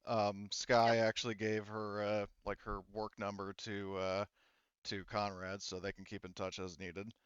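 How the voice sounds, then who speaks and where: Low, smooth-voiced man running a game. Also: monotone, a bit bright, dry recording, dull audio. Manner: rambling, hedging, halting self-corrections. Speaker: game master. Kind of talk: casual game narration, explaining to players.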